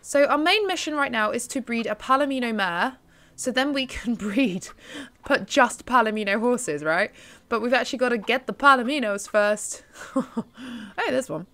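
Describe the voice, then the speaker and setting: A woman's voice: fairly high pitch, expressive, moderate pace, pushed and bright. A British woman in her twenties, just woken up, chatting casually and giggling as she plays a horse game. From United Kingdom. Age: 20s. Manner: chatty, giggly, gushing over cute foals. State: just woken up, voice lower than usual. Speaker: gaming YouTuber. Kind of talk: casual let's-play commentary.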